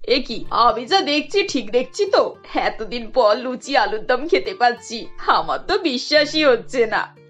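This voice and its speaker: A woman's voice: a little high, very animated, unhurried, a bit bright, heavily acted. A woman voicing a stubborn, self-pitying elderly mother-in-law in a cartoon, with a querulous, theatrical delivery. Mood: pleasantly surprised. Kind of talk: cartoon family drama voice acting. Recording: muffled audio with music behind.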